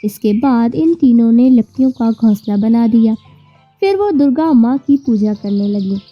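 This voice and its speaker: A woman's voice: fairly high pitch, expressive, moderate pace, dull audio. A woman narrating a scripted children's story, with music and birdsong behind her.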